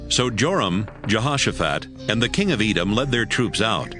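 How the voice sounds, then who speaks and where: A man narrates a dramatized scripture audiobook. His low voice moves with plenty of expression. Music is clearly present beneath the reading. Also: animated, moderate pace.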